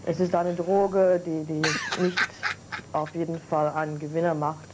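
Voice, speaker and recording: A woman's voice: deep voice, flat delivery, moderate pace, noisy background. A young woman from Germany, a show guest speaking hesitantly, recorded on old videotape.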